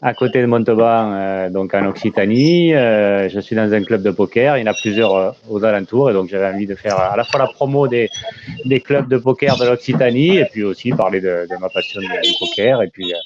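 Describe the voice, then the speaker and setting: A man's voice: fairly low pitch, brisk, highs cut off, background noise. A man hosting a casual interview over a video call, talking hesitantly with many fillers. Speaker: interview host, channel creator.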